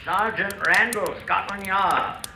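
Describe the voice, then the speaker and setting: An actor playing a dogged, hard-edged police sergeant with a clipped, insistent, official manner in an old-time radio drama. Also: male voice, high-pitched, slow pace, creaky, full character voice. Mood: polite, probing. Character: dogged male Scotland Yard sergeant, courteous turning hard. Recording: vintage radio recording, faintly noisy.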